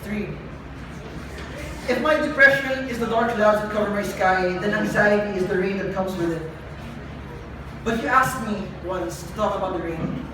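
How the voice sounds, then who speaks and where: A young adult poet performing confessional spoken word live, with a dramatic, deliberate delivery and emphatic repetition. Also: mid-range voice. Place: live event venue with room ambience. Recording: echoey live room, distant mic, noisy.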